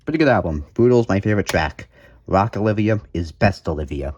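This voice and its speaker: A man's voice: sits low, animated, fairly quick, darkish tone, dull audio, slight background noise. A man hosting a music collection video, talking casually through his items in a playful, jokey way with mock emphasis. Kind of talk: casual show-and-tell vlog commentary.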